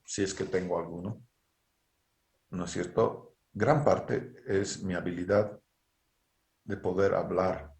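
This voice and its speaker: A man's voice: low voice, gravelly, roomy sound. A middle-aged man with a Bolivian Spanish accent, giving an online university engineering lecture and often checking in with rhetorical questions. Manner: lecturing, frequent rhetorical "¿no es cierto?" tags. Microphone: video call.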